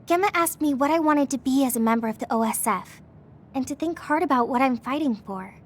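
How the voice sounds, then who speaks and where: A woman's voice: high voice, a little fast, slight background noise, lightly acted. A woman voicing an earnest, self-doubting teenage girl soldier in an anime-styled video game, hesitant and sincere. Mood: downcast.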